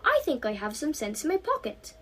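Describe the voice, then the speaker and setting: A kind young schoolgirl from a storybook, played by a teenage girl reader. She speaks high and emphatically, with big rises and falls, earnest and reassuring, over faint background noise. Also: wildly swooping pitch, fairly quick. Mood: eager.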